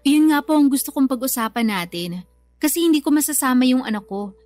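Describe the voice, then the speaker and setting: High-voiced, plaintive young Filipina mother. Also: lively intonation, fairly quick, darkish tone, no echo. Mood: pleading, stubborn. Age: young adult. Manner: plaintive, defensive. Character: weary, stubborn young Filipina single mother, resentful. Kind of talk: radio drama acting.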